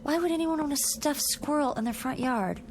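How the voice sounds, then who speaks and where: An actress playing an anxious, soft-hearted wife in a mid-century television drama, earnest and fretful. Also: female voice, high-pitched, moderate pace, slight background noise. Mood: uneasy, fretful.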